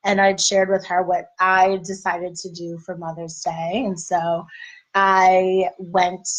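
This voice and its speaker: A woman in her thirties speaks to her viewers as a life and marriage coach. Her voice is a little raspy as she recovers. She talks in a relaxed, conversational, encouraging way. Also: on the low side, slow pace, low-quality recording. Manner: conversational, encouraging, faith-based. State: slightly raspy voice. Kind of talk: casual motivational talk.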